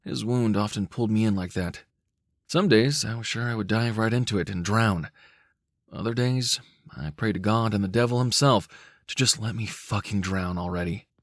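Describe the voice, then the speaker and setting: A man giving a measured, cinematic, dramatic narration of a dark short story. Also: fairly low pitch, dry recording, studio quality. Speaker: audiobook-style story narrator.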